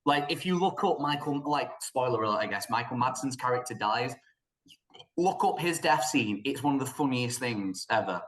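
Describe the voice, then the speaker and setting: A man's voice: medium pitch, animated, normal speed, a bit bright, echoey room, low-quality recording. A man with a British accent co-hosting a film podcast, talking casually and bluntly in an informal, opinionated way.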